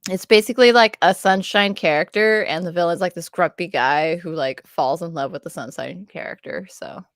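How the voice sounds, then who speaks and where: A woman's voice: on the low side, normal speed, no echo, studio quality. A young woman co-hosting a casual chat, rambling and filling her talk with "like". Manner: rambling, filler-heavy, says "like" constantly. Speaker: co-host. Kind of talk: casual chat between friends.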